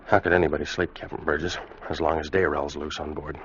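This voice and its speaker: A man's voice: extremely low pitch, level tone, quick pace, fairly bright tone, slight background noise. An actor playing a grim, accusing seaman in an old radio drama, speaking sternly and bluntly. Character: grim, accusing seaman confronting his mad captain. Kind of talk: 1940s-50s radio drama acting. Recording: dull vintage radio recording.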